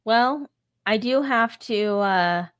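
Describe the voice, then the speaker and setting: A woman co-hosting a casual cruise podcast, chatty and quick to laugh. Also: on the high side, clear, resonant tone. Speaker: podcast co-host. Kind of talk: casual chat.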